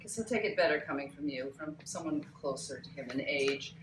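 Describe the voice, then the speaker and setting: A woman playing a loud, bossy older TV producer, self-important and brisk, at a live table read. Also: deep voice, lightly acted. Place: cramped bookstore, live reading without microphone. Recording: echoey live room, distant mic, faint noise.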